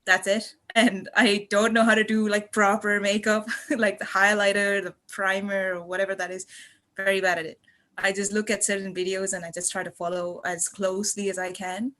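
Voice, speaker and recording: A woman in her twenties from Malaysia talks casually as a podcast contributor. Her voice sits at a middle pitch, and her way of talking is chatty, effusive and rambling. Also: level tone, moderate pace, bright, forceful tone. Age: 20s. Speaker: podcast guest and student contributor.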